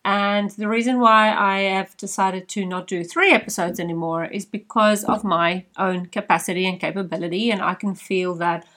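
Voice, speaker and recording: A woman's voice: mid-range voice, fairly even intonation, moderate pace, few pauses, a bit bright. A woman podcast host whose first language is Afrikaans, talking earnestly and personally to her listeners in a casual monologue.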